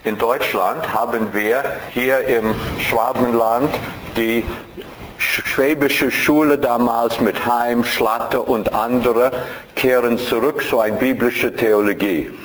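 A man giving an impassioned lecture on theology and culture. He speaks German with non-native grammar, repeats his key phrases, and his voice rises dramatically at the high points. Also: steady tone, slow pace, fairly bright tone, slight background noise. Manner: dramatic, emphatic, repeats key phrases, voice rising sharply at climaxes. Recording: roomy echoey hall.

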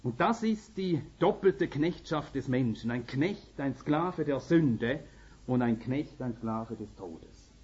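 Swedish-speaking Finnish preacher, husky and earnest. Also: male voice, mid-range voice, expressive, slow pace, a little mellow. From Finland. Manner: earnest, emphatic, rising to urgent peaks. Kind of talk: sermon. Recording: slightly dull lo-fi recording.

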